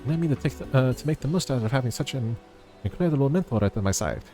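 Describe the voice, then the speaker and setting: A male game streamer with a mid-pitched voice and a quick pace. He reads dialogue and chats casually, tripping on a word now and then, with faint music underneath. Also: lively intonation, dark tone.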